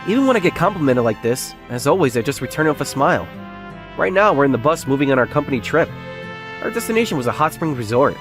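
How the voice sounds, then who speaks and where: A man acts out an earnest young salesman confiding his story in a romance comic dub. He doubts himself and sounds like a secret nerd. His delivery is brisk and highly animated, swinging expressively, with music clearly playing behind him. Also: lightly acted. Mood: self-assured. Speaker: narrator and lead character of a romance comic dub. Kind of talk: first-person dramatised narration.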